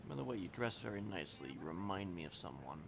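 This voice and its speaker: A man's voice: low-pitched, animated, fast, gravelly, background noise. A man playing a polite, cautious driver in an online roleplay game, talking casually and a little hesitantly. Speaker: streamer.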